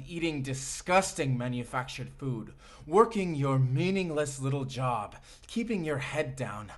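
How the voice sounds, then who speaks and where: An actor playing a vengeful, obsessive male AI companion in a dark sci-fi audio roleplay, speaking in a deliberate, bitter, theatrical way. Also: fairly high pitch. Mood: hateful, intense. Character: vengeful, obsessive male AI companion turned menacing robot lover.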